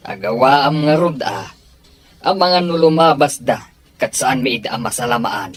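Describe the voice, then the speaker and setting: Defensive henchman played by a male actor, mid-pitched, protesting delivery, audio drama. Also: lively intonation, normal speed, lightly acted. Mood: businesslike. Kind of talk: Ilocano radio-style audio drama acting.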